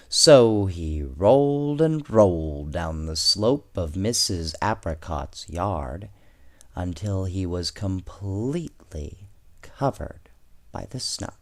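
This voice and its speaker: A man reading children's storybooks aloud in an expressive, measured way, with casual asides to his young listeners. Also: deep voice. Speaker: bedtime story reader, host.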